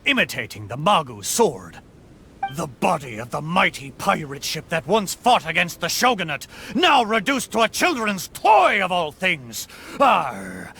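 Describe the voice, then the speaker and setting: An actor voicing the boisterous, emotional spirit of an old ship in a video game, with theatrical speech. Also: male voice, fairly high pitch, vocal fry, sharp tone, slight background noise, heavily acted. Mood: wistful. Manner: theatrical, exclamatory pirate talk. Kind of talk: dramatic video game voice acting.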